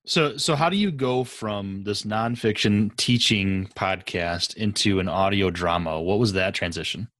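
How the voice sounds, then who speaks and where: A man's voice: lowish voice, unhurried, few pauses, fairly bright tone, no echo, clean studio sound. A man interviewing casually as a podcast host, with an enthusiastic, effusive manner.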